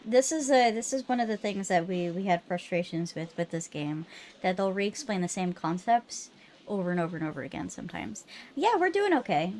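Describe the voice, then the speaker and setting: A woman's voice: mid-range voice, animated, fairly quick, darkish tone, slight background noise. A woman hosting a livestream, chatting casually between parts of a game readthrough. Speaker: livestream host. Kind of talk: casual stream chat between readthrough lines.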